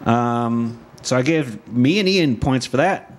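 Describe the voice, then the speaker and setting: A man's voice: mid-range voice, expressive, slow. A man casually chatting as a podcast host, joking, swearing freely and laughing often.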